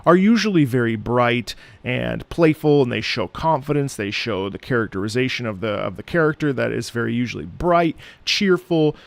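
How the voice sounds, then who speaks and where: A man who is an opera singer giving reaction commentary on game music, halting and thoughtful as he searches for words. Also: mid-range voice, expressive, vocal fry, no room sound. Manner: breaks into sung demonstrations of musical phrases.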